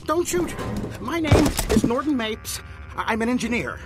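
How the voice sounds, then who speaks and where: A man's voice: very high voice, very animated, normal speed, loud music behind the voice. An actor voicing a cowardly, smug, sarcastic middle-aged male engineer in a video game. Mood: panicked. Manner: sarcastic, petulant.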